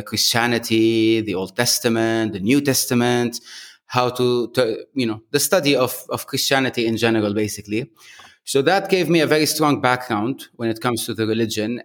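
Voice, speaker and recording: A man from Lebanon, a podcast guest, talking in an easygoing, measured way with frequent ums. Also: mid-range voice. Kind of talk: casual interview talk.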